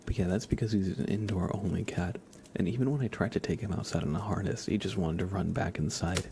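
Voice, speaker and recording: A man chatting softly as an ASMR livestream host, in a gentle hushed voice that often drops into whispers. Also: sits low, a little fast, slight background noise. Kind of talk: soft-spoken ASMR livestream chat.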